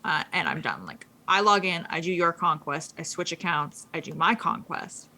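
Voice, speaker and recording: A woman co-hosting a casual podcast, chatting quickly with lots of fillers and restarts. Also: on the low side, very animated, sharp tone. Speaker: co-host. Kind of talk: casual gaming podcast chat.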